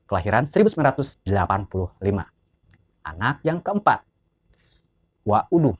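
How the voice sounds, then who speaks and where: A man, giving an informal biographical narration as he lists names and years. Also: medium pitch. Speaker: narrator of family history.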